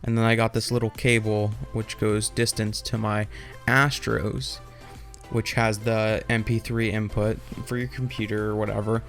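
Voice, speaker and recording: A man's voice: on the low side, little pitch movement, normal speed, fairly bright tone. Casual, rambling young man over music. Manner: rambling, informal, loosely explanatory. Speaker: tutorial host. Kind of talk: casual off-the-cuff tech tutorial.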